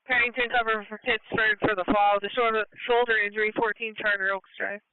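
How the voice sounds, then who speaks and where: A woman's voice: medium pitch, flat delivery, fast talker, phone-quality sound, a crowd in the background. A woman passing terse ambulance messages over a two-way radio on a scanner feed. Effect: two-way radio transmission.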